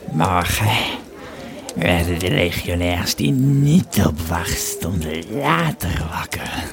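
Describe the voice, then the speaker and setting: A man playing a Roman legionary who grimly recounts camp intrigue in an audio drama, deliberate and often hushed. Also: sits low, vocal fry, exaggerated voice. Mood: grave. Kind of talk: first-person audio drama storytelling.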